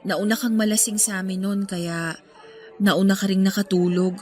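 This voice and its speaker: A woman's voice: mid-range voice, fairly even intonation, moderate pace, darkish tone, close to an everyday voice. A woman playing a reserved, self-deprecating young woman in a radio drama, hesitant and confiding. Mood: nervous, confessing. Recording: music behind the voice.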